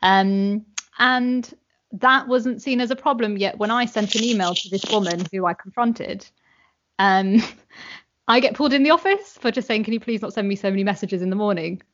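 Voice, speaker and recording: A young woman, talking as a guest in a casual conversation, animated and full of fillers. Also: mid-range voice, moderate pace, muffled recording. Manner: animated, chatty, many fillers and "like"s.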